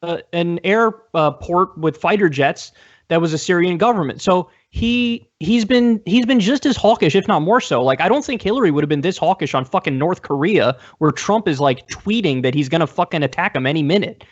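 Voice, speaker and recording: A man's voice: sits high, lively intonation, normal speed, dull audio. A young man debating politics in an emphatic, profane way, repeating phrases for stress. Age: young adult. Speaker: debate guest. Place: indoor room, video call.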